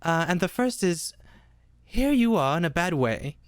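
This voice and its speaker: Man playing a cocky young boy, lively and dry. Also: sits high, smooth, dry recording. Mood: cocky, taunting. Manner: halting, with repeated questioning tags. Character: defiant young boy hero, cocky and fearless. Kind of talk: live comedic table read.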